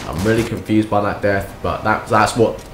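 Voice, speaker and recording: A young man, croaky and nasal from a cold, rambling through a sarcastic gameplay commentary. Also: on the low side, fairly even intonation, normal speed, background noise. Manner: rambling, sarcastic, many restarts. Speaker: gaming commentator. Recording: echoey room with faint game sound.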